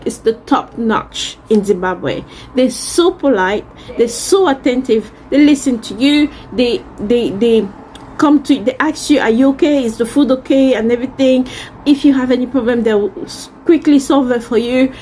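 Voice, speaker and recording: A woman's voice: a little high, a little mellow, slight background noise, rough recording. A woman from Central Africa chatting casually as a vlogger, effusive and emphatic, often repeating words for emphasis. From Central Africa, Congolese and Cameroonian. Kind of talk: casual chat to camera.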